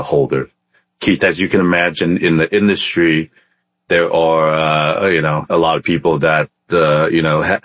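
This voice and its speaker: A man's voice: very deep voice, fairly bright tone, no room sound. A man from Vietnam who moved to the US as a child, telling his story casually as an interview guest, hesitating often. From born in Vietnam, in the US from age ten. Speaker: podcast guest, entrepreneur.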